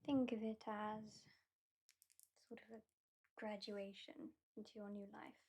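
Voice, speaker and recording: A British woman voicing a haughty, mocking female vampire in an ASMR roleplay, soft-spoken and often whispering. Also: medium pitch, little pitch movement, a little slow, husky. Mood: teasing. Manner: soft-spoken, drifting into whispers, dryly sardonic. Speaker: ASMR performer.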